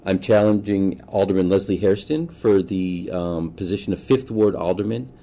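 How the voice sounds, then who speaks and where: A man in his forties from Boston giving thoughtful, halting answers as a political candidate in a conversational interview. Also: low voice, warm tone. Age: 40s. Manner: halting, thoughtful, often pausing mid-sentence. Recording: faint background noise, room ambience.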